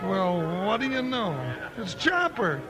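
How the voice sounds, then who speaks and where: An actor playing a big, jovial, complaining cowboy deputy in a folksy 1950s radio western. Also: male voice, sits high, big pitch swings, slow pace, over music, heavily acted. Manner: folksy drawl, comic.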